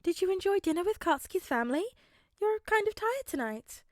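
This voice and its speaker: Woman playing a high-voiced fretful, gentle mother. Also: expressive, a little fast, no room sound, clean studio sound. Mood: gentle concern. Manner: fretting, soft-hearted. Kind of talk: audiobook character acting.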